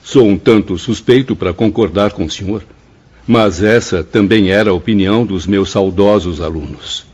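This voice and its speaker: An actor with a Brazilian accent playing a repentant spirit, a former professor, in an impassioned, declamatory radio drama style. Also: male voice, lowish voice, moderate pace, darkish tone, slightly rough. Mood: respectful. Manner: impassioned, pompous, declamatory oratory. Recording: dull lo-fi audio with music behind. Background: music.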